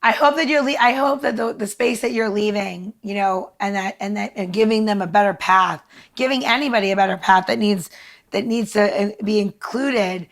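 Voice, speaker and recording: A middle-aged woman hosts a podcast in a casual way. Her voice sits at a medium pitch. She talks informally and repeats phrases to make her point. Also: normal speed. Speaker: podcast host. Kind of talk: casual chat.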